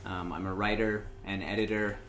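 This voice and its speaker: A man from Indiana, a guest talking casually about his life, unhurried and dotted with ums. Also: on the low side, slight background noise. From Indiana, USA. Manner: relaxed storytelling with frequent "um" and "uh". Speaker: interview guest, open mic host. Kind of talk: casual interview.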